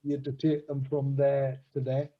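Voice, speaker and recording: A man co-hosting a casual business chat, his voice a little croaky, speaking hesitantly with many fillers and pauses. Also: medium pitch, completely flat delivery, lo-fi sound, slight background noise. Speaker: co-host.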